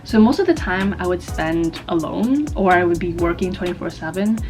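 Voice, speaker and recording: A woman's voice: fairly low pitch, expressive, a little mellow, faint music behind. A woman of twenty-four from the East Coast of the United States, whose first language is Vietnamese, chatting casually to her viewers in a rambling, self-mocking way with lots of fillers. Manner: chatty and rambling, many fillers, quick bursts, self-mocking jokes, frequent laughs. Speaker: YouTuber. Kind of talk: casual get-to-know-me chat to camera. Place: her own room at home.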